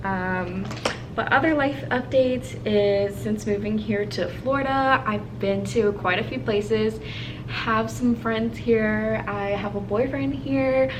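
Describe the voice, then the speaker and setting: A woman's voice: fairly high pitch, slow. A young American woman from Hawaii chatting casually and informally to camera in her apartment, thinking aloud as she does her makeup. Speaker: video blogger. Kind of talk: casual chat to camera while doing makeup. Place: indoors in an apartment. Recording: clearly noisy home recording.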